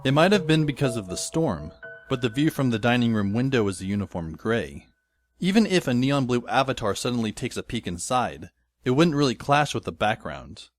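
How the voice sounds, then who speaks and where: A man's voice: mid-range voice, no echo, lightly acted. A man playing a wry, brooding teenage schoolboy who narrates his own story in an audio drama with dry, sardonic asides. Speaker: lead actor and narrator. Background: faint background music.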